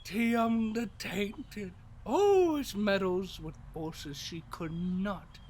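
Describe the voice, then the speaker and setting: A man playing an ancient, mocking villain with grandiose, drawn-out delivery in an audio drama. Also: sits high, darkish tone, slight background noise. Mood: sly, amused.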